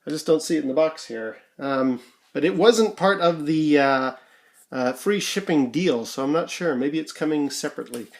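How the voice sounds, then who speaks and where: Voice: mid-range voice, moderate pace. A vlogger from Canada chatting casually through an unboxing, rambling and hesitant as they think aloud, recorded on an amateur home microphone. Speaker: YouTuber.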